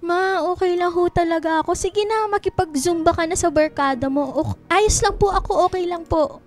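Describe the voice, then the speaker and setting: A woman's voice: very high voice, moderate pace, faint music behind. A woman acting a young Filipino daughter in a radio drama, mildly exasperated, whiny and placating. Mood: evasive, reassuring.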